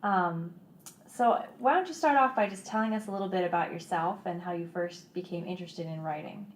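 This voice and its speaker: A woman's voice: lowish voice, brisk, reverberant. A woman hosting a radio interview, introducing and questioning her guest. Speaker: interview host. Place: radio studio.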